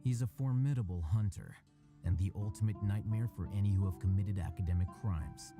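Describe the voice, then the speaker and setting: An actor playing an aloof, sardonic male scholar in a video game, speaking calmly and precisely with dry irony. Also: fairly low pitch, brisk, music in the background, natural voice. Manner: calm, dry, ironic, precise. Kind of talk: video game cutscene voice acting.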